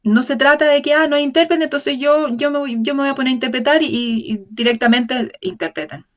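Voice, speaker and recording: A woman with a somewhat high-pitched voice delivers a lecture for an open talk series. She moves through it in a measured way, often breaking off to correct a word and tossing out tag questions to the audience. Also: lo-fi sound.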